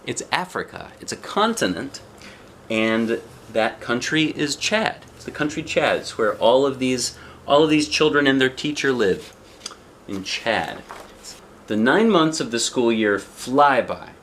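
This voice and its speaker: A man's voice: mid-range voice, big pitch swings, measured pace, a bit bright, slight background noise. A man reading a children's picture book aloud expressively, with chatty asides and questions to the young listener. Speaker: storybook reader.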